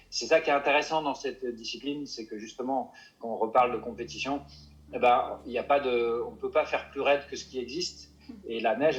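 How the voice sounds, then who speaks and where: A mountain guide answering interview questions, hesitant and full of restarts and fillers. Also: male voice, mid-range voice, lo-fi sound. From Paris suburbs.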